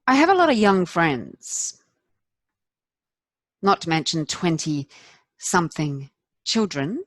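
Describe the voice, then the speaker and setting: A middle-aged woman's slightly low voice, close and dry, an actress delivering a confessional theatrical monologue. She is a candid, rueful wife, wry and self-deprecating, and leans on deliberate pauses for emphasis. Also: sing-song, fairly bright tone, no echo, full character voice. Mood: bemused, earnest. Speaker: performer of a dramatic monologue.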